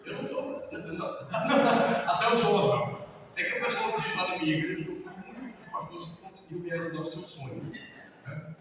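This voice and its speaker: Middle-aged man, pastor, lively storyteller; distant mic in a reverberant hall with noise behind. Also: high voice, moderate pace. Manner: lively storyteller, acts out quotes, laughs. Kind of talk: sermon-style talk with personal stories. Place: large church hall.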